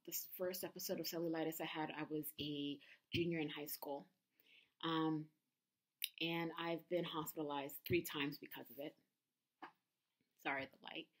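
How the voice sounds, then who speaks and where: Candid woman in her thirties, halting. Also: deep voice. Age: 30s. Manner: candid, halting, many ums and restarts. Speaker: vlogger. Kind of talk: personal confessional vlog.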